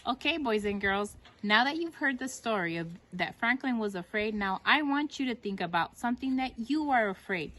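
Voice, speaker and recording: Woman reading aloud, very lively pitch. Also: moderate pace, hardly any pauses. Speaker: storyteller addressing children. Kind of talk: read-aloud children's story narration.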